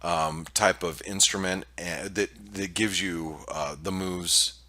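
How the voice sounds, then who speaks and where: A man's voice: sits low, steady tone, takes it slowly, bright, forceful tone. A man with a nasal, stuffed-up voice from allergies, giving casual market commentary over a shared screen, full of fillers and restarts. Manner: hesitant, many fillers and restarts. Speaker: market analyst presenting weekly preview.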